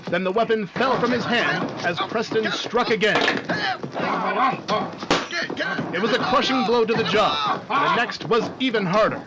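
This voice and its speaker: A man's voice: high voice, normal speed, very noisy, close to an everyday voice. A man playing the announcer of an old-time radio adventure, narrating with urgent, emphatic drama. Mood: excited.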